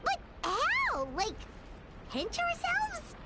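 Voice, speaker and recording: A woman voicing a tiny, excitable, childlike fairy companion in a video game, squeaky and expressive, with loud sound effects behind. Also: drawn-out words, very noisy, full character voice. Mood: alarmed.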